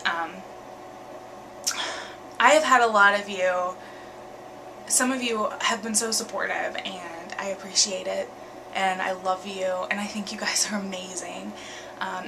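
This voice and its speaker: A young woman, talking candidly in a home vlog, earnest and full of ums and pauses. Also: mid-range voice, noisy background. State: congested with a cold, sniffly. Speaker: vlogger. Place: bedroom at night.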